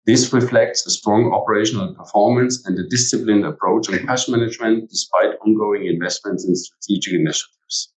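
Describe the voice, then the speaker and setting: Man, low voice, formal executive presenter, reading prepared financial script, echoey room. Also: brisk. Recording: echoey room, distant from mic.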